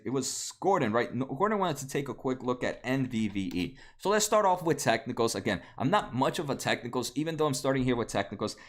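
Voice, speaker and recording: Late-20s male streamer, native Spanish speaker, loose rambling delivery with restarts, desk mic at home. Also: mid-range voice. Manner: rambling, many fillers and restarts. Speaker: stock market livestream host. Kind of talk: casual livestream chat answering viewers.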